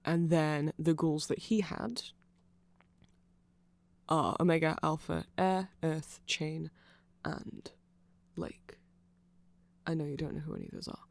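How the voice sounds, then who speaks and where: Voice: mid-range voice, very animated, slow, gravelly, long pauses, dry recording, heavily acted. A nerdy vampire played by an ASMR performer explains things in an eager, self-conscious ramble. The voice sits in a middle register and keeps wandering off on tangents and apologizing. The sound is close and dry, with very little room to it. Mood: rambling, explanatory.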